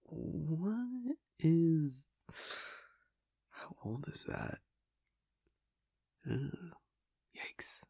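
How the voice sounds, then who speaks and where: A man playing a playful, affectionate vampire boyfriend in an intimate audio roleplay, talking casually and teasingly in a breathy, gravelly voice that often drops near a whisper. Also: fairly high pitch, big pitch swings, very slow, long pauses, mellow, dry recording, studio quality, heavily acted. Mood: mildly grossed out. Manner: wry, teasing, hesitant, chuckling casual delivery.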